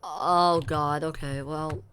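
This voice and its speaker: A man's voice: fairly high pitch, steady tone, slow, fairly bright tone, a little hiss or hum. A young man streaming a game, chatting casually and rambling to his viewers between reactions. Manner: rambling, self-correcting, talks to chat between game reactions. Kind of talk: casual live-stream chatter while gaming.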